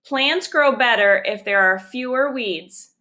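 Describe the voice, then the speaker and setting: Woman reading as a bossy, bright-voiced know-it-all girl. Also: on the high side. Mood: bossy, prim. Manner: brisk, matter-of-fact, corrective.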